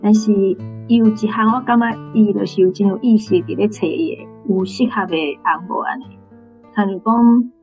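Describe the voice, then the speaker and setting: A woman's voice: medium pitch, steady tone, moderate pace, dark tone. A woman with a Taiwanese accent talking through a story conversationally as a panel guest. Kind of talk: conversational literary discussion. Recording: dull, lo-fi sound, guitar music behind.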